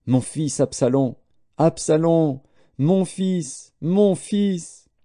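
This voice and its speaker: A man's voice: a little high, drawn-out words, warm tone, dry recording, clean studio sound, pitched above the performer's own voice. A man voicing a grieving king who laments his dead son with repeated cries. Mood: anguished grief.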